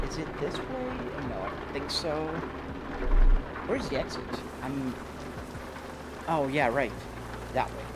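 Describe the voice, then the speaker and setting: A man's voice: medium pitch, very noisy. Chatty Brazilian man streaming a drive. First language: Portuguese. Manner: chatty, greets viewers by name, laughs easily. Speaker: live streamer. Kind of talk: casual live stream chat while driving a sim. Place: home sim rig.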